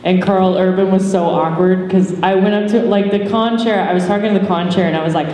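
A woman's voice: mid-range voice, a little fast. A woman in her thirties telling anecdotes in a chatty, casual way as a convention panelist. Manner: chatty, animated storytelling with mimicked quotes. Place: large hotel convention room, indoors. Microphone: handheld mic through PA, recorded by far camera. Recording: echoey hall PA, faint noise.

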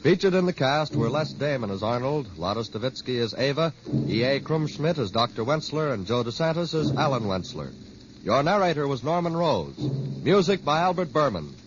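Man, old-time radio announcer, animated, medium pitch, dull noisy recording. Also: normal speed. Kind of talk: 1950s radio scripted announcing.

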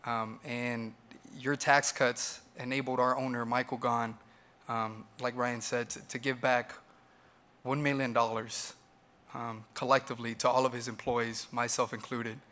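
Earnest man with a bright, flat voice. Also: mid-range voice, unhurried. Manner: earnest, many ums and uhs. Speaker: restaurant manager, panelist. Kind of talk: prepared personal remarks at a roundtable. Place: large hall stage, panel table.

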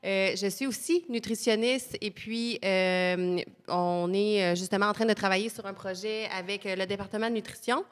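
A woman's voice: medium pitch, steady tone, normal speed, long reverb tail. A young woman from Nunavik speaking frankly as a panelist, holding a handheld mic at a table in a community centre. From Nunavik, Quebec. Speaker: panelist, nutritionist. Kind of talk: panel discussion. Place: community centre hall, indoors.

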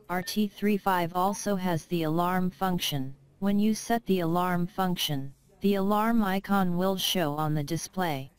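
A woman's voice: fairly low pitch, clean tone. A woman reading a scripted product narration, with careful, non-native English phrasing. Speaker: product presenter.

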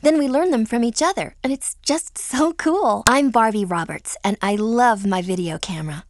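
A woman's voice: a little high, moderate pace, slight background noise, close to an everyday voice. A woman playing a friendly, earnest teenage American girl in a scripted video game. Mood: upbeat, friendly. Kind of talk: scripted game character lines.

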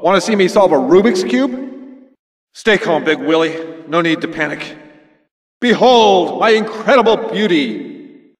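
An actor playing a smug, jealous, nerdy game designer villain in a cartoon video game, boastful, theatrical and petulant. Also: male voice, high-pitched, fairly bright tone, heavily acted. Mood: nervous self-soothing. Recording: echoey, long reverb.

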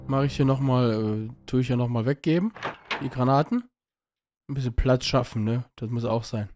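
Hoarse German-speaking gamer with a cold. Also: male voice, mid-range voice, moderate pace, music in the background. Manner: rambling, self-correcting, reads game text aloud. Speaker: let's play host. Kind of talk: casual gameplay commentary.

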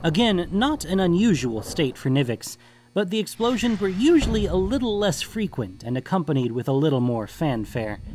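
A man's voice: on the high side, noisy background. A man reading scripted fantasy story narration as the narrator.

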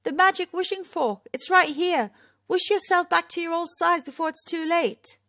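Woman voicing high, urgent little storybook creatures. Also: sing-song, slow, darkish tone, heavily acted. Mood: urgent, worried. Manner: shrill, urgent calling out. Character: small excitable creature friends, urgent and anxious. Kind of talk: children's storybook character reading.

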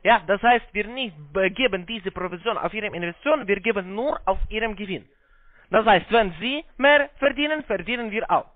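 A man with a foreign accent giving a halting, repetitive, polite phone sales pitch in broken, non-native German. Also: dry recording. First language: not German. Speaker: call center investment salesman on a scam call. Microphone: phone call. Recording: narrow-band phone line sound.

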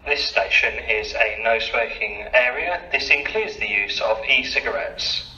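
A man makes formal, instructing announcements in a very sharp, forceful voice. He is heard through a public address speaker, far off and echoing. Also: lowish voice, a little slow, soft background music. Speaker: station announcer. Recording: distant, dull, echoing station PA recording.

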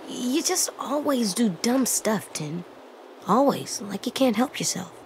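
A woman voicing a blunt, guarded little boy survivor in a video game, speaking in terse, halting lines. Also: fairly high pitch, expressive, a little hiss or hum. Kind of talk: naturalistic video game dialogue acting. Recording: faint outdoor stream ambience.

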